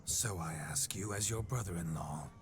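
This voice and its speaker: A man's voice: deep voice, normal speed, lightly acted. A man playing a rakish, boisterous nobleman spirit. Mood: jovial. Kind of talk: video game cutscene dialogue. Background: music.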